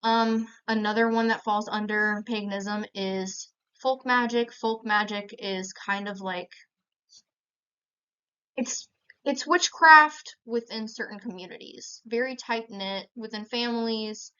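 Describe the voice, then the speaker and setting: A woman's voice: a little high, steady tone, takes it slowly, sharp tone, smooth. A young American woman giving an informative speech in a plain, explanatory manner. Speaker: student presenter. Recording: slightly dull, lo-fi sound.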